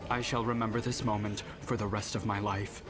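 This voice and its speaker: A man's voice: lowish voice, level tone, brisk, music in the background, close to an everyday voice. A man voicing an irreverent adventurer in a fantasy video game, wry, flippant and floridly sarcastic. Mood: sarcastic, amused.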